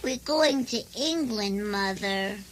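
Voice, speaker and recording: A woman's voice: medium pitch, animated, slow, rough recording. A woman playing a naive, inquisitive little boy, polite and childlike, in a comic radio drama.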